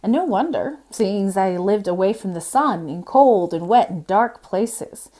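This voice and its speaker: Woman reading a bedtime storybook. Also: mid-range voice. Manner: expressive, measured storytelling with brief informal asides. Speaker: librarian reading aloud, host.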